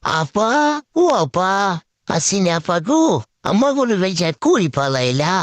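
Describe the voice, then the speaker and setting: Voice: mid-range voice, very animated, slow, no echo. An actor voicing a servile male crow on the run, whining and pleading in rustic colloquial speech in a comic cartoon. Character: whining, servile male crow sidekick, scruffy fugitive politician.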